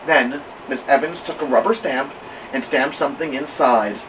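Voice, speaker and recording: A man with a mid-pitched voice narrates a children's story. He reads as a storytime host would. The recording is dull and away from the mic, with faint steady room noise. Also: normal speed, recorded from a distance. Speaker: storytime reader. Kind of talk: children's audiobook-style narration.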